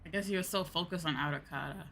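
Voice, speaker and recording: A woman from the United States giving casual, animated, sweary game commentary as a streamer. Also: fairly low pitch, fast, gravelly, a little hiss or hum. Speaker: VTuber streamer. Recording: game music behind.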